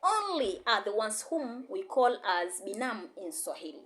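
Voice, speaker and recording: A woman's voice: fairly high pitch, big pitch swings, moderate pace, a bit bright. A woman, a language teacher giving an encouraging online lesson and often repeating herself. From Tanzania. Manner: repetitive, encouraging, code-switching teacher. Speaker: Swahili and English teacher.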